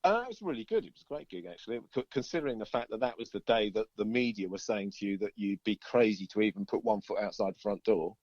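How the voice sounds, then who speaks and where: Man, lower-pitched, casual interviewee with a rambling, looping delivery; close, dull lo-fi sound. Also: expressive, fast, few pauses, darkish tone, dry recording. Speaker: band singer and songwriter being interviewed.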